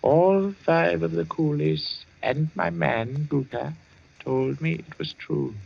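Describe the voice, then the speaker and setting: Embittered old merchant, formal philosophical delivery, creaky voice, muffled 1940s radio recording. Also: male voice, fairly high pitch, fairly even intonation, no room sound, exaggerated voice. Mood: grave, insinuating. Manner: formal, measured, philosophical speech. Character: embittered wealthy old Indian merchant, courtly and melancholy.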